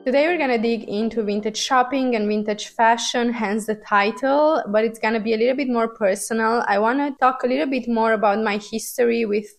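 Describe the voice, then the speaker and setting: A woman's voice: on the high side, a little fast, hardly any pauses, soft background music. A young woman from Romania hosts a casual, personal podcast monologue, thinking aloud in a conversational way as a Romanian speaker. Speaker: podcast host.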